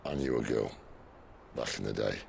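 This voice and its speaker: An actor playing a roguish, world-weary older outlaw leader in a video game, gravelly and wry, with a Northern English accent. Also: male voice, a bass voice, moderate pace, darkish tone, a little hiss or hum, exaggerated voice. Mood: rueful. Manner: colloquial, wry. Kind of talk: dramatic video game voice acting.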